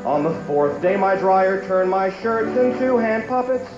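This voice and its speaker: Male standup comic, high-pitched and emphatic, drawn-out punch lines, roomy lo-fi recording. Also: lively intonation. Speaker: standup comedian. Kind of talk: standup comedy routine. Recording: dull lo-fi echoey archive TV recording, music.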